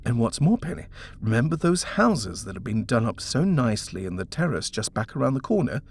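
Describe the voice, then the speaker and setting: A man's voice: medium pitch, very animated, a little fast, a little hiss or hum, voice pushed up. A man voicing an eager young husband in a story, persuasive and indulgent as he talks up a house. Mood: coaxing, persuasive. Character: eager, coaxing young British husband, smooth-talking house-hunter. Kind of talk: storybook character reading.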